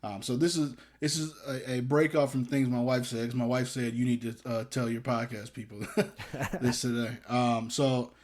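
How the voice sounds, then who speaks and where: Rambling young dad podcast co-host. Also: male voice, medium pitch, flat delivery, moderate pace, darkish tone. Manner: rambling, hesitant, self-deprecating jokes. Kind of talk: casual chat.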